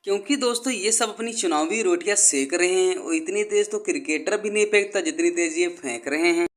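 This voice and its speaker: A man's voice: high-pitched, brisk. A man delivering scripted satirical narration as a video host, mockingly addressing viewers as his friends.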